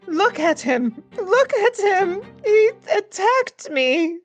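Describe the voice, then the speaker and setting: A woman's voice: extremely high pitch, sing-song, slow, faint music behind, voice pushed up, heavily acted. A woman voicing a terrified woman in a tabletop horror game, crying out shrilly and frantically. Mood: panicked, shrill. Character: terrified young woman screaming for police after an attack.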